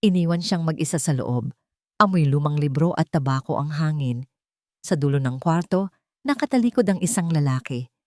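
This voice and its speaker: Woman, low-pitched voice, dramatic story narration, very dry close sound. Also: expressive, moderate pace, a little mellow, dry recording.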